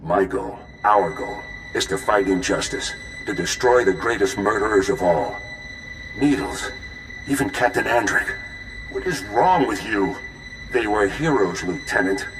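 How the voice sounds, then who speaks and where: A man's voice: lowish voice, expressive, a little slow. A man playing a smug, menacing renegade commander in a video game, mocking and self-righteous. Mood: bitter, righteous. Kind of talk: video game cutscene acting. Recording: roomy echo. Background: music.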